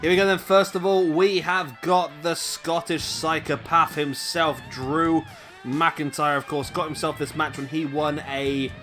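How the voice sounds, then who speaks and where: A man giving casual play-by-play commentary on a wrestling video game, chatty with rambling asides to viewers, his voice going a little hoarse. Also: on the high side, steady tone, a little slow, fairly bright tone, faint music behind. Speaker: gaming commentator. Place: home room, late at night.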